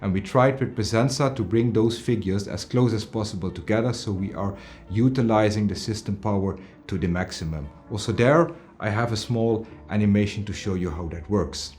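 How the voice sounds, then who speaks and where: Middle-aged man, lowish pitch, product presenter, explanatory delivery, faint music behind. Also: normal speed, darkish tone. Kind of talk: semi-scripted product presentation to camera.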